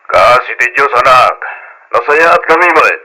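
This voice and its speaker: Nasiglat, natadem ti timekna a lolo. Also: male voice, mid-range voice, normal speed, rough recording. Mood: fond. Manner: animated, affectionate. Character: warm doting old grandfather and father. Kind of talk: old Ilocano radio drama acting. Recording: old radio drama recording.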